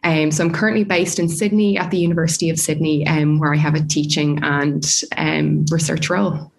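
A woman from Dublin with an Irish accent, a researcher explaining her field in a measured way with frequent fillers. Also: low-pitched, fairly even intonation, vocal fry. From Ireland. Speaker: speech pathology researcher. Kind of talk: academic discussion, explaining.

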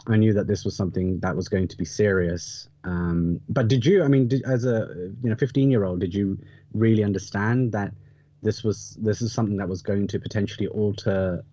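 A man's voice: fairly low pitch, fairly even intonation, brisk, warm tone, dry recording, dull audio. A man in his thirties talking reflectively on a podcast, hesitant and rephrasing himself as he thinks.

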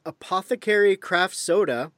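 A man's voice: high-pitched, expressive, moderate pace, no echo. A man hosting a podcast, chatting casually with dry, wry humor. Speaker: podcast host. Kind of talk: casual chat.

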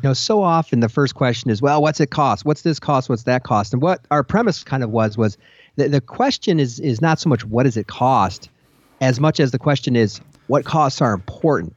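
A man co-hosting an aviation podcast, giving practical advice in a measured, conversational way. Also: mid-range voice, dry recording, highs cut off. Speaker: podcast co-host. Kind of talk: casual podcast chat.

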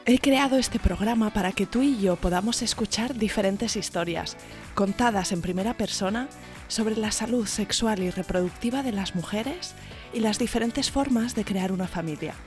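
A woman's voice: medium pitch, normal speed, darkish tone, soft background music. A woman hosting a podcast, reading a scripted intro and then asking her guests questions in a friendly, enthusiastic way. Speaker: podcast host.

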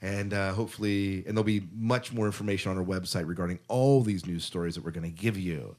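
A man's voice: low-pitched, fast, a little mellow. A man hosting a podcast, chatting casually through headlines and prompting his co-hosts. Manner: conversational, prompts co-hosts with questions. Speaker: podcast host. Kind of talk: casual chat, reading headlines.

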